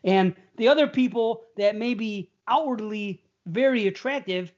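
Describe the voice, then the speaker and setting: A man's voice: sits high, moderate pace, dull audio. Stammering, rambling male podcast host. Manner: rambling, stammering, laughs often. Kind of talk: casual banter. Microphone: remote call.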